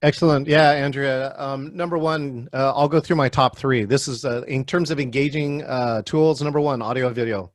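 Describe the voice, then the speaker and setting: A man's voice: mid-range voice, steady tone, normal speed, hardly any pauses, no room sound. Man leading a video-call workshop. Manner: friendly, calls on attendees by name. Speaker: virtual meeting trainer. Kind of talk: hosting an online workshop.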